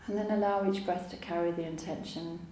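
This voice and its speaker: A woman teaching yoga nidra, guiding a relaxation meditation in a calm, soothing, unhurried way with long pauses. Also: on the low side. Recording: roomy echo, faint background noise.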